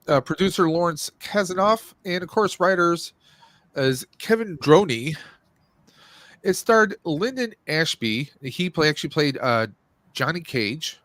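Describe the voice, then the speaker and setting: A man's voice: on the high side, lively intonation, slow, pauses often, fairly bright tone, dry recording. A man in his forties hosting a casual livestream podcast, chatting informally with jokey asides. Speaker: podcast host. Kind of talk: casual livestream chat about movies and games.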